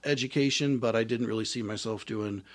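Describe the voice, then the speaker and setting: A man, a trumpet player and singer, telling his story in a casual interview with many fillers and restarts. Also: fairly quick. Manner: says he has a higher voice.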